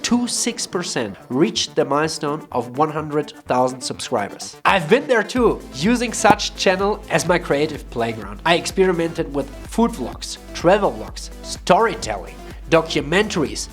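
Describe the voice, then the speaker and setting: A man's voice: fairly high pitch, sing-song, a little slow. A man reading scripted voice-over narration with a punchy, teasing promo delivery. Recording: background music under the voice-over.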